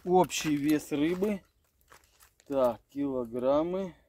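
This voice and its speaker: A man's voice: fairly high pitch, slow pace, warm tone, slight background noise. A middle-aged man vlogging his fishing trip outdoors by a river, talking casually and muttering to himself. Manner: chatty, stumbling, mutters to himself. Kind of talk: casual vlog narration. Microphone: phone held at arm's length.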